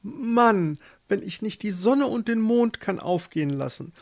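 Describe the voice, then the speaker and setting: A man's voice: high-pitched, animated, measured pace, gravelly, voice pushed up, exaggerated voice. A man voicing a haughty, restless fisherman's wife turned pope in a storybook, speaking in a stately, condescending way. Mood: grandly dissatisfied.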